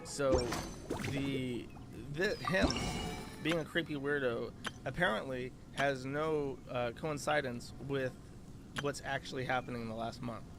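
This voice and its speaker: Halting man over loud music. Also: medium pitch, creaky. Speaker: host. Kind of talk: casual monologue commentary.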